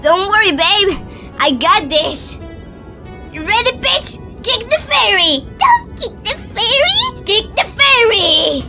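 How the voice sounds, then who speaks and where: A woman's voice: very high-pitched, very animated, slow, bright, forceful tone, faint music behind, heavily acted. A woman voicing a brash, lazy, sarcastic young woman in a foul-mouthed cartoon parody. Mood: brash, angry. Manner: crude, sarcastic. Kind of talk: animated parody voice acting.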